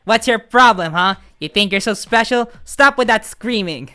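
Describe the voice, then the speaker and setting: A woman's voice: mid-range voice, lively intonation, normal speed, bright, forceful tone. Lady doing a bossy senior coworker, snaps at the rookie type, haughty, curt, music under it. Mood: scornful.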